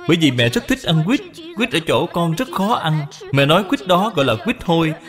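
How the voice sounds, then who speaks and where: A man's voice: fairly high pitch, sing-song, brisk, a bit bright, pitched above the performer's own voice. A man voicing a timid, polite six-year-old cartoon boy, earnest and hesitant, devoted to his mother. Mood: shy, earnest. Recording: long reverb, background music.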